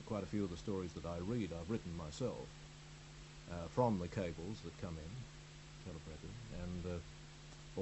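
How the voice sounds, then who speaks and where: An Australian man from Melbourne, a radio newsreader, answering interview questions in a halting way full of ums and uhs. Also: sits low. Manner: hesitant, many ums and uhs. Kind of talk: studio interview chat. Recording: radio broadcast with steady hum.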